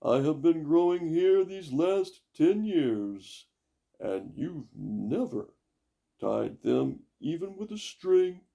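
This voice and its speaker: Talking birch tree: high, very slow, wronged and reproachful, storybook style. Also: male voice, lively intonation, vocal fry, mellow, muffled recording, full character voice. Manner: drawn-out, reproachful. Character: birch tree, slow, aggrieved, long-suffering servant.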